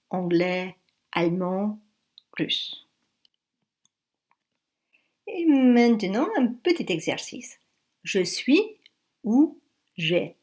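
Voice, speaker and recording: A woman in her forties leads a beginner language lesson. She speaks at a very unhurried pace, laying out each word carefully. Her pitch sits in the middle of the usual range. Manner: slow, careful classroom delivery. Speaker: French teacher.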